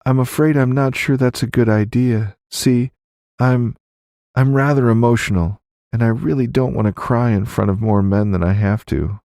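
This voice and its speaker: A man's voice: medium pitch, steady tone, moderate pace, gravelly, mellow, dry recording, clean studio sound, pitched above the performer's own voice, lightly acted. A man voicing a young Old West widow, guarded and scheming, speaking politely and delicately in an audiobook story. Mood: anxious, tearful.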